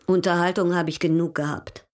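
A woman plays a proud European baroness in a radio drama. Her voice sits on the low side, and she speaks with poise, teasing and coolly ironic, restless under the polish. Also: little pitch movement, a little fast, dry recording, studio quality, lightly acted. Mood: restless, candid. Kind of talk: German radio drama acting.

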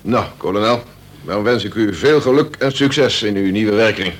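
A man playing a deputy prison governor, polite, breezy and gently teasing, in a Dutch radio play. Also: on the low side, moderate pace, lightly acted. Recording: faintly noisy old radio recording.

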